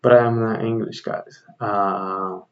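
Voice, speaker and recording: A man's voice: fairly low pitch, fairly even intonation, slow pace, highs cut off. A man from the Dominican Republic with a Dominican Spanish accent and Spanish as his first language, hosting a casual trading explainer, chatty and often correcting himself as he talks to viewers. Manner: chatty, self-correcting, addresses viewers as guys. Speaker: trading channel host.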